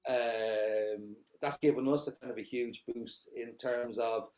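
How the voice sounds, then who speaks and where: Middle-aged Irish founder, average pitch, meandering answers full of ums and uhs. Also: male voice, steady tone, takes it slowly, a little mellow. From Ireland. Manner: rambling, many fillers and restarts. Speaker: company founder, guest. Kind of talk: casual interview answers.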